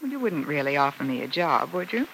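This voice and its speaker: A bright, crisp-edged voice: a proud, independent young woman in an old radio drama. She speaks with poise and a light coyness. There is faint hiss on the recording. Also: low-pitched, big pitch swings, fast, full character voice, low-quality recording. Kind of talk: mid-century American radio drama acting.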